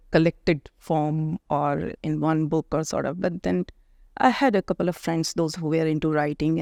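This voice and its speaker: Woman, Indian accent, native Hindi speaker, middle-aged poet, gravelly, dry close sound, thoughtful pauses. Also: sits low, dark tone, dry recording. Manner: thoughtful, searching for words with frequent pauses. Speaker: poet and author guest. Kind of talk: reflective conversational interview.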